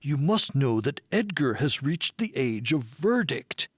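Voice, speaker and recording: A man's voice: mid-range voice, big pitch swings, a little slow, slightly rough, dry recording, studio quality. A man voicing a stately old male colony patriarch with a formal, pompous manner in an audiobook. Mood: pompous, fond. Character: Grandfather Genius, stately old colony patriarch, solemn and grandiose. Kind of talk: audiobook character voice.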